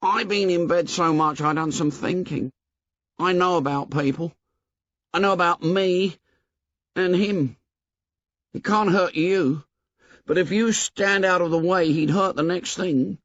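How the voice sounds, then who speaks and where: A man voicing a fussy, anxious schoolboy who pleads in a squeaky, worried voice. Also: measured pace, long pauses, a bit bright, no echo, highs cut off. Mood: earnest. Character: anxious, fussy plump schoolboy, bossed and scared.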